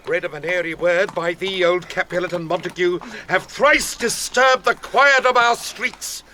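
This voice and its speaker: An actor playing an angry, commanding prince, declaiming imperiously in a Shakespearean audio drama. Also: male voice, high voice, normal speed, sharp tone, a little hiss or hum. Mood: imperious fury. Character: enraged, commanding prince rebuking his brawling subjects.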